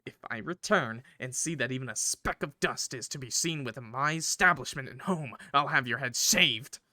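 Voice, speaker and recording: A man's voice: medium pitch, moderate pace, bright, forceful tone, no room sound, studio quality. A man voicing a wicked adoptive mother in a visual novel, cold and threatening. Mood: cold threat. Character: wicked, menacing adult woman, cruel adoptive mother.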